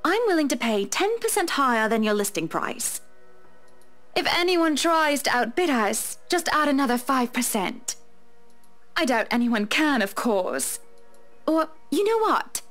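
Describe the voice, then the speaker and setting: Gracious, moneyed society wife for a visual novel; high-pitched, animated, genteel, light music underneath. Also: female voice, unhurried, full character voice. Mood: confident, businesslike. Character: poised, wealthy, self-assured married society woman. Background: faint music.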